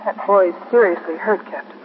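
A woman's voice: medium pitch, moderate pace, natural voice. An actress playing a composed woman hospital doctor in a 1950s radio police drama, clipped and matter-of-fact. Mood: grave, matter-of-fact. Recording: muffled, noisy 1950s radio, phone-thin.

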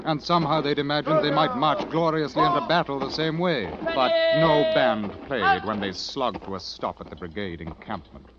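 A man narrating a radio war adventure in a grave, literary, measured storytelling manner. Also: medium pitch, lo-fi sound, heavy background noise, close to an everyday voice. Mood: somber. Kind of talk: 1940s-50s radio drama narration. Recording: old band-limited radio recording with music.

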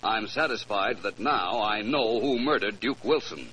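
A suave, measured detective, low-voiced with lively intonation. It is 1940s radio acting on a clearly noisy, humming old recording. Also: male voice. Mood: composed. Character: composed, probing male detective.

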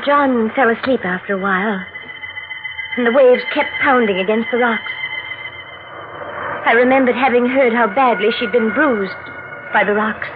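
An actress playing an anxious, devoted young bride in a 1940s radio drama, earnest and hesitant. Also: female voice, on the high side, normal speed, long pauses, natural voice. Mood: brooding narration. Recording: tinny old radio, music behind.

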